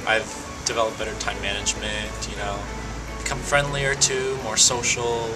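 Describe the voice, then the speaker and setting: A young man gives a calm, measured farewell testimonial. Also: lowish voice, loud music behind the voice, low-quality recording.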